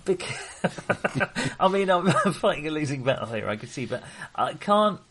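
A man's voice: on the high side, sing-song, measured pace, fairly bright tone, husky. A man co-hosting a casual film discussion, thinking aloud and often interrupting himself. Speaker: podcast co-host discussing films.

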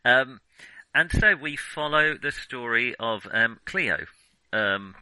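A man co-hosting a film podcast, thinking aloud in a halting, digressive way as he casually reviews what he has watched. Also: mid-range voice, animated, sharp tone, smooth, no echo, low-quality recording.

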